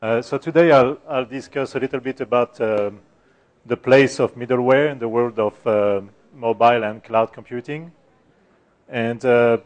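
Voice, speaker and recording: A middle-aged man with a French accent and a soft voice, giving a technical conference talk with many fillers and restarts. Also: mid-range voice. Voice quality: soft-spoken. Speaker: conference speaker. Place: conference room.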